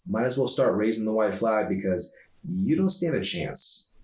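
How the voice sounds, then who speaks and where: A man's voice: on the low side, lively intonation, normal speed, darkish tone, natural voice. A man voicing a confident, playful, sarcastic teenage girl in an audiobook reading. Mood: teasing, upbeat. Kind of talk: audiobook dialogue. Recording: echoey room, distant mic.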